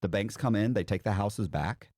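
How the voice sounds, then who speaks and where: Low-voiced man telling a casual story. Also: normal speed, a little mellow, dry recording, studio quality. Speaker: interview guest recounting past fraud.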